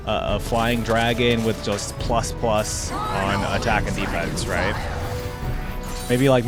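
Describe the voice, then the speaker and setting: A man giving casual gaming reaction commentary as a host, conversational and full of asides and questions to viewers. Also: mid-range voice.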